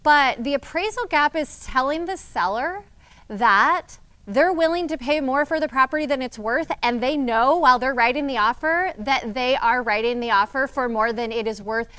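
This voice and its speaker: A woman's voice: sits high, moderate pace, fairly bright tone, clear, resonant tone. A woman leading a real estate team meeting, explaining deals to agents in a direct, teaching manner. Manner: direct, explanatory, addresses the group. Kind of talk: training talk to agents.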